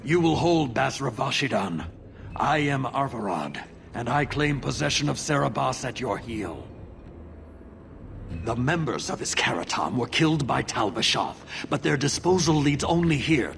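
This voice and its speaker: Casting: commanding game zealot warrior, rough creaky voice, dramatic intonation, cold and formal. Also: male voice, medium pitch, lively intonation, fairly bright tone, slight background noise. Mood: stern, cold. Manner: formal, measured, declamatory. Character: stern zealous horned Qunari warrior, commanding and unyielding. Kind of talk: video game acting.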